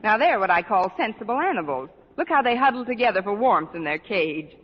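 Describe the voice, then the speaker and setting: An actress playing a witty high-school teacher with dry, sardonic delivery in a vintage radio sitcom. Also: female voice, big pitch swings, fairly quick, lightly acted. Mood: playful, wry. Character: witty, wisecracking adult female high-school English teacher. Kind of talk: 1940s-50s radio sitcom acting. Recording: noisy muffled vintage radio, studio audience laughter.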